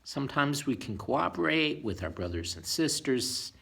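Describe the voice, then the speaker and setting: Husky man telling an animated bedtime story. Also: mid-range voice, normal speed. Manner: expressive, gentle teacherly asides with questions to young listeners. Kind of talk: children's bedtime storytelling, read aloud with chatty asides.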